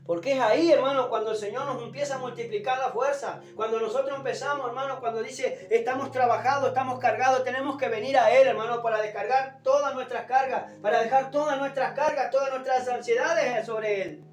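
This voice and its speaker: Preacher, sore strained throat, forceful exhorting delivery in a roomy space, unamplified and distant. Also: mid-range voice, fairly even intonation, fairly quick, hardly any pauses. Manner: emphatic, exhorting, repeats phrases and addresses listeners as brother. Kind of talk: fervent evangelical sermon. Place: echoing room, preaching unamplified. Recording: roomy, distant pickup without a microphone, music behind.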